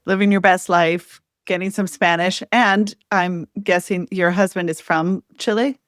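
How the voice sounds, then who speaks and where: A woman hosting a casual podcast interview, warm and enthusiastic, with lots of affirming responses. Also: fairly low pitch, steady tone, unhurried, dry recording, studio quality. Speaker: podcast host.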